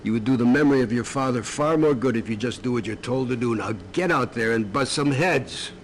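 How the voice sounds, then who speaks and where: An actor playing a stern, seasoned naval air commander in a military game cutscene. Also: male voice, medium pitch, fairly quick, close to an everyday voice. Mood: stern, firm. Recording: long hall reverb, faint noise.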